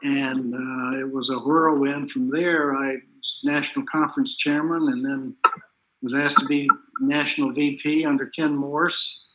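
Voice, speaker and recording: An older man gives a quick, lively account of himself on a video call. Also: mid-range voice, a little hiss or hum, poor audio.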